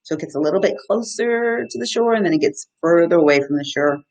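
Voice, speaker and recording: A woman in her thirties, an educator reading to children in an animated, questioning way and explaining as she goes. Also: lowish voice, fast, low-quality recording. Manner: animated, asks the listeners simple questions. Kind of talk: interactive children's story time, reading and explaining.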